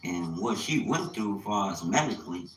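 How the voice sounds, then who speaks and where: A man's voice: fairly low pitch, lively intonation, normal speed. Man in his fifties rambling, echoey room. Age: 50s. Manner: colloquial, rambling, repeats phrases for emphasis. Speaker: livestreamer, small business owner. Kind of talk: casual livestream monologue. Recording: echoey room with faint background noise.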